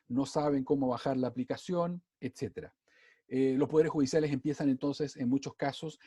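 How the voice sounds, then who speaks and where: A man with a Chilean accent, speaking quickly on a seminar panel, with many "eh" fillers. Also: mid-range voice, breathy edge, dry recording. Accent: Chilean Spanish. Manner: rapid and animated, with many "eh" fillers. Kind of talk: fast-paced seminar talk.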